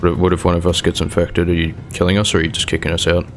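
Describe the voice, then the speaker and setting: Man playing wary survivor, deep voice. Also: completely flat delivery, very fast, faint music behind, lightly acted. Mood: questioning. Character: wary, questioning male zombie-apocalypse survivor. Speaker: player in a roleplay skit. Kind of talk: improvised game roleplay dialogue.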